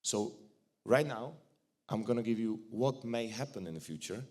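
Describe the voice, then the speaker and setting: A man with a Czech accent talks from a conference stage into a microphone. His voice sits fairly low, and his delivery is loose and joking, with frequent restarts. He sounds blunt and relaxed, like he is chatting with the audience. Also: normal speed. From Czech Republic. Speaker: conference speaker. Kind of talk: conference talk. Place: conference hall.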